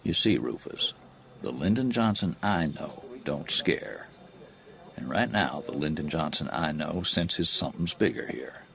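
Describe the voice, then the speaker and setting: An actor playing a shrewd middle-aged politician in an audio drama, probing and deliberate, with folksy phrasing and a gravelly voice. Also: male voice, very deep voice, animated, a bit bright, full character voice. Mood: suspicious, probing.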